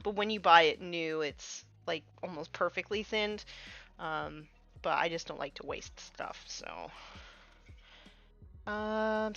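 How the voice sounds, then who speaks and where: A woman's voice: on the low side, a bit bright. Sniffly woman chatting on a dull stream mic. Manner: chatty, loose, many fillers. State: slightly sniffly, coming down with a cold. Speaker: stream host painting miniatures. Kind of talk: casual live-stream chat while working.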